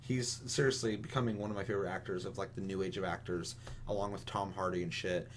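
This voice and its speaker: A man's voice: deep voice, fairly even intonation, fast talker, a little hiss or hum. A man co-hosting a casual gaming talk show, ranting with strong opinions in an emphatic, rambling, swear-heavy way. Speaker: podcast co-host.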